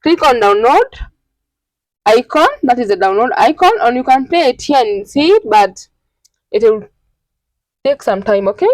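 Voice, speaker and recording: A woman narrating an informal step-by-step tutorial in a halting, repetitive, instructional way. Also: a little high, expressive, no echo, poor audio. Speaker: tutorial host.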